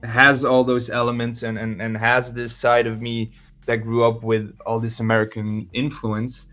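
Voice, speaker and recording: A man's voice: medium pitch, completely flat delivery, moderate pace, fairly bright tone, faint music behind. A young man from Belgium with a Belgian Dutch-speaking accent, a guest musician talking casually and hesitantly, with many fillers and restarts. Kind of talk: casual podcast interview.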